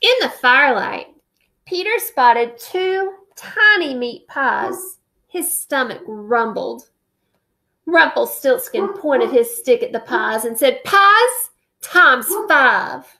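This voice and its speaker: A woman in her thirties, a teacher, reading a children's picture book aloud on a webcam at home with expressive, drawn-out emphasis. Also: high-pitched, gravelly. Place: indoor room at home. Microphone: webcam at close range.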